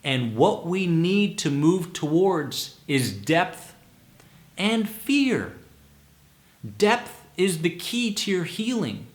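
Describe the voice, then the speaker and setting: A man giving a motivational talk as an anxiety coach, emphatic and deliberate, repeating words for stress. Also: fairly high pitch, roomy sound.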